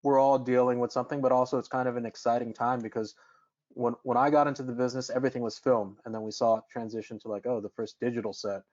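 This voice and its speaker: A man's voice: medium pitch, flat delivery, quick pace, darkish tone. A man chatting casually and loosely as a film crew guest in a panel conversation. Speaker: film lighting or grip crew, interview guest. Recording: muffled lo-fi online call.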